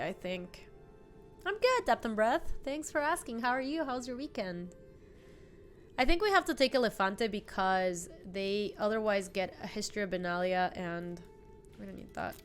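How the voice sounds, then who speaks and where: Young woman, stuffy nose from a cold, chatty game streamer, thinking out loud, faint music behind. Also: mid-range voice. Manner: chatty, thinks aloud, answers chat. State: congested with a cold. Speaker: streamer playing a card game. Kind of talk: casual live-stream commentary. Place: home streaming room, indoors.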